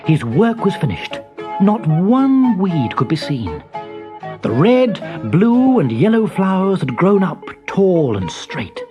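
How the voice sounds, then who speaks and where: A storyteller giving an expressive narration of a children's storybook. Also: medium pitch, dull audio.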